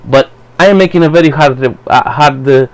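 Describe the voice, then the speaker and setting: A man whose first language is Romanian, giving a blunt, profane, halting commentary for his channel. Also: on the high side, poor audio. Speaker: vlogger. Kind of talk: rambling personal commentary.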